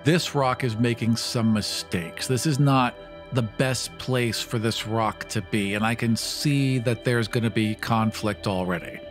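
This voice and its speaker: A man's voice: medium pitch, measured pace, soft background music. Man chatting as a tabletop game player. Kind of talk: casual explanatory game chat.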